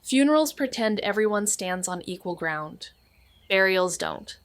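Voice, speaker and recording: An actress playing a perceptive young amateur sleuth in a noir audio drama, terse and deadpan. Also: female voice, mid-range voice, measured pace, no echo. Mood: measured, brooding.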